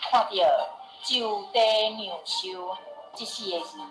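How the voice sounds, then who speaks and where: A woman's voice: mid-range voice, fairly even intonation, slow. Roomy Taiwanese woman narrating radio drama. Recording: roomy echo with music behind. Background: music.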